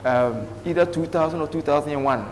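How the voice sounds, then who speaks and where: A man's voice: on the high side, brisk, a little mellow. Man guest telling his story earnestly, restarts a lot, long room reverb, bit of hiss. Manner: earnest, with restarts and thanks to God's grace. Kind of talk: telling his life story on a talk show. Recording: reverberant studio, faint background noise.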